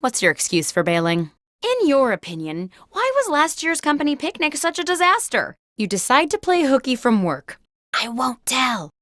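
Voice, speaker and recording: A woman's voice: sits high, sing-song, moderate pace, fairly bright tone, no room sound, studio quality. A woman playing a playful office-themed party game host, reading scripted comedic prompts with theatrical expression.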